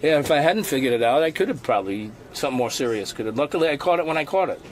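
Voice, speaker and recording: A man's voice: a little high, animated, very fast. A man being interviewed, answering casually but defensively, with chatter behind him.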